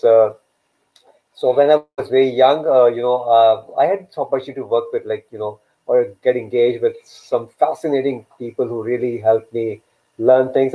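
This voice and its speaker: Man with a native Urdu tongue on a tinny line. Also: mid-range voice. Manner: rambling, repeats words while thinking. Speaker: host. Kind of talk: live online talk show.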